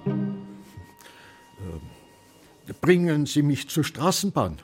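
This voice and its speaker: An actor playing a forgetful, easily indignant old man in a radio drama, halting and rambling. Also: fairly high pitch, lively intonation, faint music behind. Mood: rambling, unsettled. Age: older.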